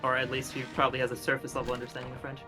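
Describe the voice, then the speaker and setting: A man's voice: medium pitch, monotone, very fast, over music. A man casually chatting and joking while streaming a video game, often laughing and poking fun at himself. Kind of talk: casual gaming chat.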